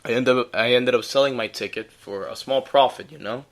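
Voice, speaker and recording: A man's voice: medium pitch, fairly quick. A young man casually talking through a solo sports recap, chatty and rambling with laughs. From United States. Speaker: podcast host.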